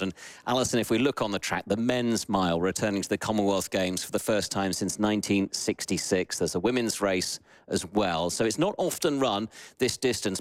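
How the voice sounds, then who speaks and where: A man's voice: lowish voice, dry recording. A man presenting a live sports radio programme, putting questions to guests. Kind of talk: live sports broadcast interviewing.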